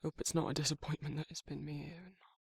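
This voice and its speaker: A protective male werewolf, acted by a man murmurs with a British accent in a close, very dry ASMR roleplay. The voice sits a little high for a man, yet it is thick with crackling fry. The delivery is halting and awkward, tender and shy. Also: level tone, dry recording, full character voice. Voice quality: husky, gravelly, breathy. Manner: halting, hesitant, self-correcting, softly murmured. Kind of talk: intimate ASMR romantic roleplay.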